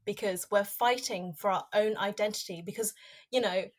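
Young woman from Hong Kong, Cantonese native, thoughtful guest with frequent fillers and restarts, medium pitch. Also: normal speed. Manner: reflective, many fillers and restarts. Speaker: podcast guest, researcher. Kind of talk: candid conversational interview.

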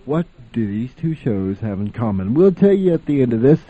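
A man's voice: mid-range voice, sing-song, moderate pace, breathy edge, no room sound. A man hosting an old-time radio program, casually introducing and commenting on vintage shows. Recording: thin, phone-like sound.

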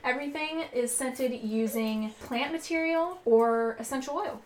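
A woman co-hosting a podcast, reading a sponsor spot in quick, chatty banter. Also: high-pitched, a little hiss or hum. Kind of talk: upbeat ad read in casual banter.

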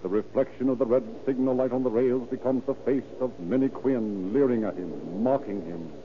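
A man's voice: mid-range voice, moderate pace. A man narrating and announcing in a formal, theatrical, emphatic 1930s radio style. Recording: tinny, hissy 1930s radio recording.

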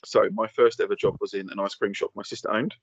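A man's voice: lowish voice, level tone, fast, slightly rough, no room sound. A young man co-hosting a podcast, telling rambling, sweary stories and laughing often. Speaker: podcast co-host. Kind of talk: casual banter. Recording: dull lo-fi dry sound.

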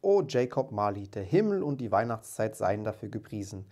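A man's voice: on the low side, sing-song, normal speed, dark tone. A man voicing a reformed old miser from a storybook, exclaiming with great emotion and emphasis. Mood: fervent relief. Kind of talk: dramatised storybook reading.

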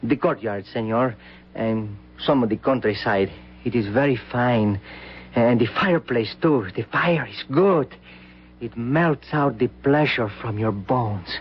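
A man acting in an old-time radio drama as a simple, childlike manservant with a Spanish accent, halting and repetitive, fearful and servile with a cruel streak. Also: mid-range voice, slight background noise, close to an everyday voice. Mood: dreamy, servile. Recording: dull old radio broadcast recording.

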